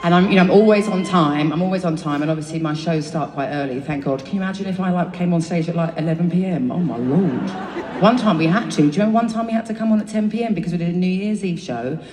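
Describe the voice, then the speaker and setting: A woman's voice: fairly low pitch, fast, a little mellow, a little hiss or hum. Swearing London woman in echoey arena. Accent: London English. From England. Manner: swears freely, rambling, laughing asides. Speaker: singer on stage. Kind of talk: chatty stage banter between songs. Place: large concert venue. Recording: live concert audio, echoey arena from far off with crowd.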